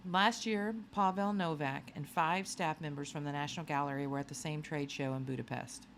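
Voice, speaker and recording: A woman's voice: sits low, fairly even intonation, normal speed, a little hiss or hum. A woman voicing an eager, clever boy who works as a secret agent in a children's storybook.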